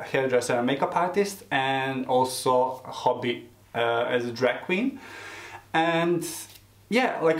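Man in his early forties, Serbian accent, medium pitch, halting casual vlog chat, roomy echo. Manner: halting, non-native English phrasing. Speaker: beauty vlogger, hairdresser and drag queen. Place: small room indoors. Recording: roomy, echoing home recording.

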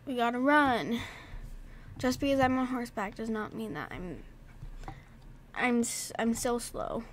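A woman's voice: a little high, measured pace, background noise. Stuffy-nosed woman chatting through a game. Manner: chatty, talks to herself and her horse. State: stuffed-up nose. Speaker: gaming vlogger. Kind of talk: casual gameplay commentary.

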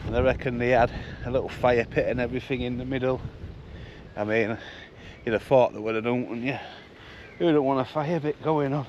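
A man's voice: mid-range voice, fairly even intonation, a little fast, a little mellow, slight background noise. A man with a Yorkshire accent chatting casually and jokily to his viewers as a van-life vlogger, in muffled on-location audio. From Yorkshire, England. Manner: chatty, informal, jokey. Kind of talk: casual vlog commentary to viewers.

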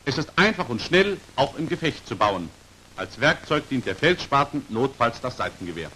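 A man's voice: medium pitch, very animated, normal speed, bright, forceful tone, slight background noise, rough recording. Formal 1940s training film narrator, man. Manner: formal, precisely articulated, instructional.

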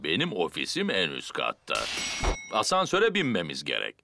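Deep, jolly cartoon daddy pig. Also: male voice, huge pitch swings, normal speed, vocal fry, loud music behind the voice, heavily acted. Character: jolly, self-important daddy pig, middle-aged father. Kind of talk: children's cartoon dub.

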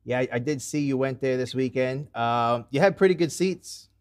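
A man's voice: medium pitch, normal speed. A young man hosting a casual podcast on a headset from his room at home, rambling with restarts and casual curses. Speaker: podcast host. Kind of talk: casual chat. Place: home room with red lights on video call.

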